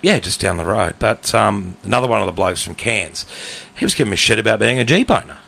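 A man in his thirties with a New Zealand accent, co-hosting a podcast in casual, teasing banter and telling stories haltingly. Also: on the low side, animated, vocal fry, a bit bright, husky. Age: 30s. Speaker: podcast co-host.

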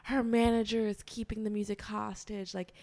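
A woman's voice: medium pitch, flat delivery, warm tone. A woman co-hosting a pop-culture podcast, chatting casually and filling her talk with fillers while nursing a cold. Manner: chatty, fast-flowing, heavy with fillers. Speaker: podcast co-host.

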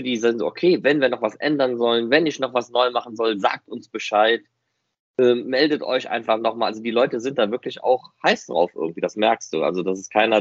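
A man's voice: lowish voice, no echo. A man talks casually as a podcast host. He explains things at length in a chatty way. The sound is very dry and a little dull. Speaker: podcast host and fan audio drama director.

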